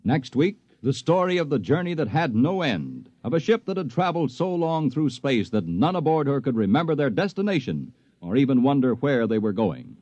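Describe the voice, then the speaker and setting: American male announcer, scripted vintage radio promo, expressive intonation, dry close studio sound. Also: medium pitch, normal speed, few pauses, no echo. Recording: dull, dry 1950s radio broadcast.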